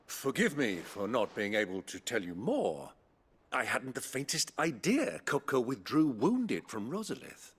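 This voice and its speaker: An actor playing a jovial middle-aged noble uncle in a fantasy game, with a flowery, genteel, wry manner. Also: male voice, mid-range voice, very animated, a little slow, fairly bright tone, slightly rough, exaggerated voice. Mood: genial, courteous. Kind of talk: theatrical fantasy game dialogue.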